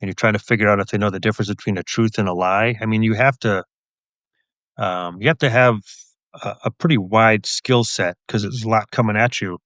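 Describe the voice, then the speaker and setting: A man who works as a police officer and author, answering interview questions in a measured, explanatory, conversational way. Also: lowish voice, steady tone, a bit bright, no echo.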